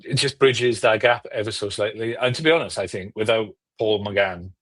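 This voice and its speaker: A man's voice: fairly low pitch, normal speed, fairly bright tone. A man on a podcast panel, chatting casually and enthusiastically, rephrasing as he goes.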